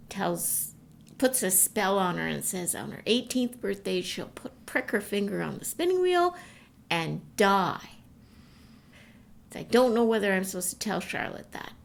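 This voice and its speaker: A woman's voice: mid-range voice, vocal fry. A middle-aged woman, chatting casually with her partner as a podcast co-host. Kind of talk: casual chat between a couple. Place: at home in self-isolation.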